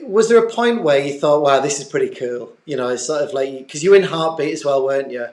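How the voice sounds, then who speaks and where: A man's voice: on the high side, expressive, quick pace, a little mellow. A man in his early forties doing a casual interview, talking in a rambling, enthusiastic, informal way. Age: early 40s. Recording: echoey room.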